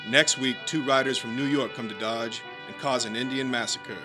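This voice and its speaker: A man's voice: medium pitch, fairly even intonation, normal speed, music in the background, close to an everyday voice. A man reading scripted narration for a western radio drama.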